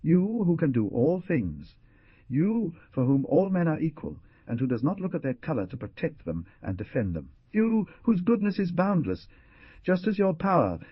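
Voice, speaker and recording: A man's voice: medium pitch, big pitch swings, moderate pace, husky, no room sound, pitched above the performer's own voice. A man voicing a newly freed man who is overjoyed and grateful, speaking in fervent, pleading exclamations. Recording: dull lo-fi recording.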